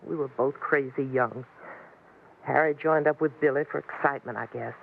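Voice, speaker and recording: A woman's voice: very deep voice, steady tone, normal speed, gravelly, full character voice. A tinny vintage radio recording of a weary, lonesome American frontier woman. She talks in a plain, folksy way, like someone who has had a hard life in the Old West. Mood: wistful confiding. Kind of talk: 1950s radio western acting.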